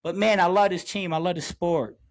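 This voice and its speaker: A man's voice: high voice, steady tone, brisk. A man in his forties telling stories in casual, slangy podcast style, emphatically repeating his phrases for effect. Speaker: podcast host, basketball coach.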